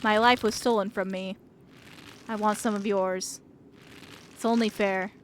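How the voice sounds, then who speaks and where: A woman's voice: mid-range voice, fairly even intonation, unhurried, slight background noise, lightly acted. A woman voicing a vengeful, mournful male ghost in a video game, speaking in grave, accusing pronouncements. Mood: mournful, demanding. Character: vengeful, mournful male ghost demanding repayment of stolen years.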